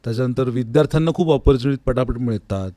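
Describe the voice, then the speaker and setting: A man's voice: mid-range voice, rapid-fire, dark tone, no echo. A man answering interview questions in an earnest, conversational way, repeating words for emphasis. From Maharashtra, India. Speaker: interview guest, classical vocalist. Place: recording studio.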